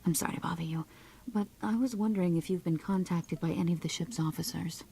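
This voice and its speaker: An earnest, worried woman travelling aboard a starship in a video game. Her voice is slightly low and husky with a creaky edge, and she speaks politely, with faint music behind her. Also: fast, mellow. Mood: nervous, polite. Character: anxious, polite female starship passenger, earnest and hopeful.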